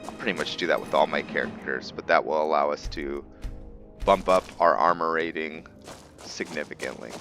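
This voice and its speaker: A man's voice: rumbling low, normal speed, vocal fry, a bit bright, soft background music. A man giving casual, chatty gameplay commentary as a video host, thinking aloud with enthusiasm.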